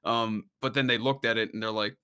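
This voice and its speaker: A man's voice: lowish voice, fairly even intonation, a little fast, pushed and bright, clear, resonant tone. A man who sings, chatting on a podcast as a guest and explaining things at length with frequent laughs. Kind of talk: casual chat.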